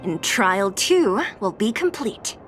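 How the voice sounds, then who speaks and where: A woman's voice: mid-range voice, sing-song, slow pace. A woman playing a composed, sceptical young female warrior in an anime-style video game, matter-of-fact, commanding and mildly exasperated. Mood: confident. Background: music.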